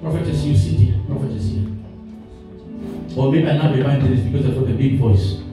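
A pastor delivers a sermon in a rolling, repetitive cadence, stacking promise upon promise as his voice climbs. He is heard from some distance in a very reverberant hall, with music playing clearly behind him. His voice sits somewhat high for a man. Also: fairly even intonation, moderate pace. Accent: African English. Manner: preaching with rising declarations, repeated phrases. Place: church hall. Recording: echoey hall from far off with music.

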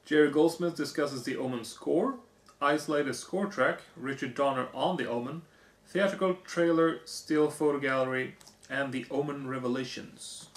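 A hoarse young man on a living room couch, casually reading off movie box features. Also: medium pitch, moderate pace. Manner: reads off lists, offhand comments. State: hoarse, losing his voice. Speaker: vlogger. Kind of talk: casual unboxing chat. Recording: echoey room.

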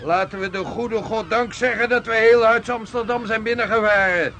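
An actor playing a driven, devout sixteenth-century ship's captain in a radio drama, speaking formally and with command. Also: male voice, high voice, fairly even intonation, normal speed, bright, forceful tone, slight background noise. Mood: earnest, elated.

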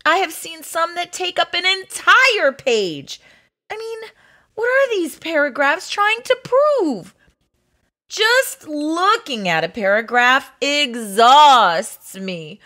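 Woman putting on a high, grumpy book-hating kid. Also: very animated, pushed and bright, heavily acted. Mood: disgusted, exasperated. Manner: melodramatic, complaining, drawn-out emphasis. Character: grumpy, exasperated child who hates reading. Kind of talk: storybook read-aloud.

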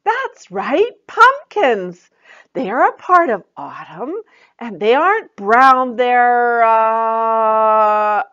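A helpful young storybook fairy friend, put on by a woman reader, coaxes and gushes in a high voice. She exclaims with enthusiasm, swinging widely in pitch. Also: big pitch swings, very slow, fairly bright tone, dull audio, exaggerated voice. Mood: encouraging.

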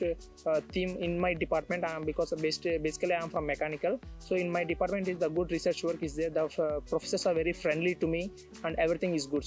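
A young man from India, an international student, answering interview questions outdoors on a campus, hesitant with many fillers and restarts. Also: on the high side. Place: outdoors on a tree-lined campus.

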